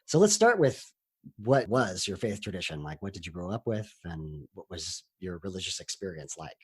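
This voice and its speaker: A man hosting an interview podcast, introducing his guest and asking steady, welcoming questions. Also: low voice, normal speed, breathy edge. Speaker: podcast host.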